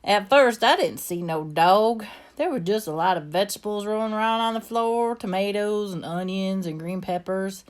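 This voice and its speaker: A woman's voice: medium pitch, normal speed, close to an everyday voice. A woman voicing an earnest, plainspoken young girl with a Southern US accent, telling her story from a storybook. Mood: earnest, wondering. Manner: folksy, plainspoken. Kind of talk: first-person storybook narration.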